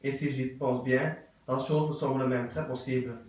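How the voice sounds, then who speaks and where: A man playing a stiff, courteous middle-aged English gentleman in an absurd stage play, with formal, ceremonious and repetitive speech. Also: medium pitch, steady tone, normal speed, close to an everyday voice. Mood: ceremoniously curious. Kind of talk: theatre acting of an absurd play. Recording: very echoey room, voice from far off.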